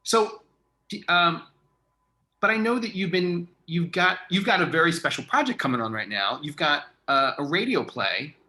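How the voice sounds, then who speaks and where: Man, bright forceful voice, casual interviewer, informal, agreeable. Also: on the high side, lively intonation, moderate pace. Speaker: interviewer, theatre artistic director.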